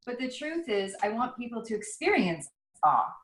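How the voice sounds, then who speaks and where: A woman's voice: fairly high pitch, big pitch swings, moderate pace, fairly bright tone. A woman author talking over a video call, thinking aloud in a rambling, reflective, self-questioning way. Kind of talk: casual conversation over a call. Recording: roomy echo.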